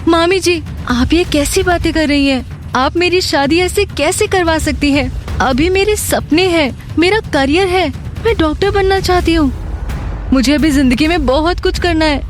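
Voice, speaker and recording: A woman's voice: extremely high pitch, measured pace. A woman playing an innocent, frightened young village woman in an audio drama, pleading earnestly and politely. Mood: anxious protesting. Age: young adult. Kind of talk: Hindi audio drama acting. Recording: music bed under the voice.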